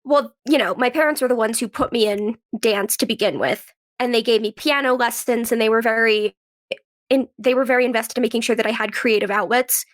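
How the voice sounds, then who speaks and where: Female interview guest, slightly high register, informal conversational storyteller, dry sound. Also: fairly quick, dry recording. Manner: chatty, informal, acts out quoted remarks. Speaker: podcast guest, actress and writer. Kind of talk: casual chat, personal storytelling.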